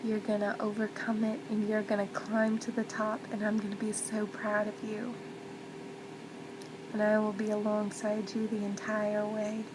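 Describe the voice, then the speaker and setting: A woman playing a loving mother in an ASMR role play, speaking softly and tenderly, often near a whisper, to comfort and reassure her child. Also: medium pitch, monotone, normal speed, lightly acted. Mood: reassuring, earnest. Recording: loud constant background noise, ambience.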